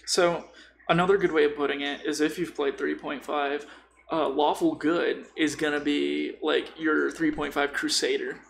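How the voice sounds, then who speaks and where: Creaky-voiced man in casual, sweary chat. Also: fairly high pitch, little pitch movement, normal speed, slight background noise. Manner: opinionated, sweary, slangy. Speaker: podcast guest. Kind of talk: casual chat about tabletop role-playing.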